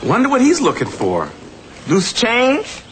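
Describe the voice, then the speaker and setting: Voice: medium pitch, huge pitch swings, unhurried, loud music behind the voice. A performer voicing a sly, offhand forest animal in a children's cartoon.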